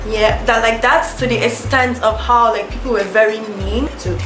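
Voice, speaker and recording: A young woman with a Nigerian accent chats straight to camera, her voice sitting a little high, and she keeps saying "like" and "you know" as she corrects herself mid-thought. The room is echoey, and music plays clearly behind her. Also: fairly even intonation, moderate pace. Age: young adult. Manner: chatty, full of fillers and self-corrections. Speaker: vlogger. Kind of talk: casual storytelling to camera. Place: small indoor room, seated before a plank backdrop.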